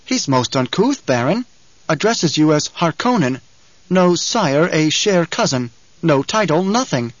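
A man's voice: on the high side, very animated, a little slow, dry recording, highs cut off, pitched above the performer's own voice. A man voicing a slender, effeminate assassin adviser in an audiobook, in a sweet musical tenor, sly and theatrically mocking.

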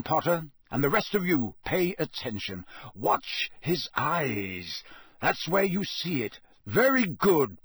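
A man voicing a gruff, commanding old wizard teacher in an audiobook, growling and barking out forceful orders. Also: a little high, no echo, studio quality, pitched above the performer's own voice, full character voice.

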